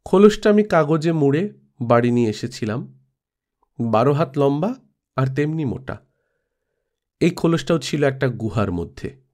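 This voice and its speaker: A man's voice: on the high side, expressive, measured pace, long pauses, darkish tone. A man narrating a literary travel tale as a scripted audiobook reading. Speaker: storyteller reading a classic audiobook.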